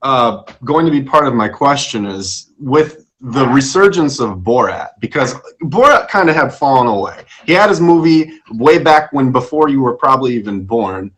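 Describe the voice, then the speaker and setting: A man's voice: mid-range voice, animated, normal speed, few pauses, a bit bright, rough recording. A man hosting a casual interview show, asking questions and joking with his guest. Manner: joking, teasing. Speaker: show host.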